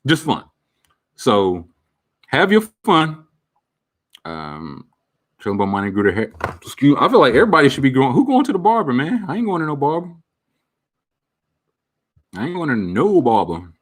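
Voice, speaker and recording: A man's voice: medium pitch, very animated, moderate pace, long pauses. Candid man chatting casually, about thirty. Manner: loose, candid, reflective, heavy slang and fillers. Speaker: streamer answering viewer questions. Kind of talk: casual chat.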